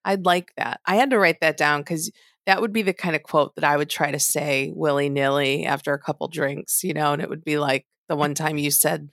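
A woman's voice: deep voice, steady tone, a little fast, a bit bright, no echo, clean studio sound. A woman co-hosting a podcast in casual chat, trailing off into asides and fillers as she talks. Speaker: podcast co-host.

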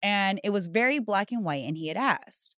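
A woman co-host puts pointed questions to her guest in a lively voice. Her pitch swings widely as she talks. The close mic sounds dry. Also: normal speed, no echo. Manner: probing, pressing questions. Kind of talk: casual interview chat.

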